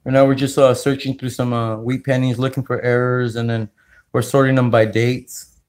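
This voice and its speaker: Laid back california guy on a stream, kinda monotone, lots of uh and um. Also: medium pitch. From California, United States. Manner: relaxed, halting, many fillers, greets viewers. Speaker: livestream host. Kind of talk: casual livestream chat while sorting coins.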